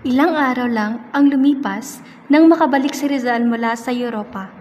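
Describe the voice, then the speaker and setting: A woman's voice: fairly high pitch, moderate pace, darkish tone, a little hiss or hum. A woman narrating a scripted radio drama in a formal, read-aloud storytelling manner. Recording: dull sound with hall reverb.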